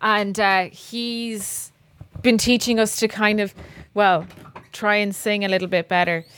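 A woman's voice: medium pitch, steady tone, measured pace, fairly bright tone. A young woman co-hosting a podcast, rambling playfully and mocking herself in a casual chat.

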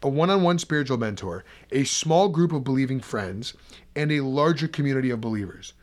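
A man's voice: medium pitch, lively intonation, a little fast. A man in his thirties chatting casually as a podcast host, with a teasing, bantering manner. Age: 30s. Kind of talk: casual chat.

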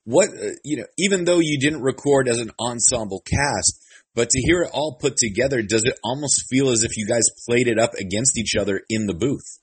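Husky man interviewer, rambling questions. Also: mid-range voice, fairly quick, rough recording. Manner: rambling, filler-heavy questions. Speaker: entertainment interviewer. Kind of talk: press interview.

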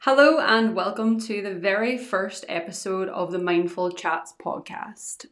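A young woman with a Northern Irish accent softened by Scottish tones, hosting a solo podcast in an earnest, rambling, chatty way into a podcast microphone. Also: mid-range voice, unhurried, faint music behind. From Northern Ireland. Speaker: podcast host.

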